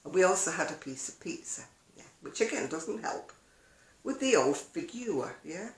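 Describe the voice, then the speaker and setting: Creaky-voiced older woman, rambling chat. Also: fairly low pitch, lively intonation, normal speed. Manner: rambling, self-deprecating asides. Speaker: video blogger and craft seller. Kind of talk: casual chat to camera. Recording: echoey room.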